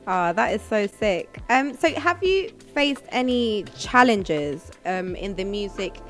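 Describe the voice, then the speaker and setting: A woman's voice: mid-range voice, very animated, slow. A woman hosting a casual music interview in a slangy, enthusiastic, chatty way. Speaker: interviewer, show host.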